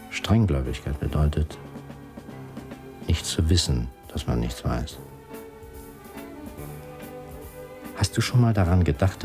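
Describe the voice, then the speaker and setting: Radio play casting: a clever party language scholar, bass register with swooping emphasis, fervent, exacting, lecturing. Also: male voice, heavily acted. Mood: grave, fervent. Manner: lecturing, zealous, precise, correcting. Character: fervent male party linguist, clever and pedantic. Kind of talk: German radio drama acting.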